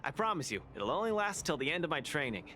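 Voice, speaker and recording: A man's voice: on the high side, very animated, quick pace, bright, forceful tone. An actor voicing a cheerful, boastful young male underworld fighter in video game dialogue who laughs things off.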